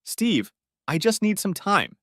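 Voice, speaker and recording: Man voicing an exasperated wife, raised pitch. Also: sing-song, slow pace, a bit bright, no room sound, clean studio sound, heavily acted. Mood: defensive. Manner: exasperated, defensive. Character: exasperated, evasive middle-aged wife.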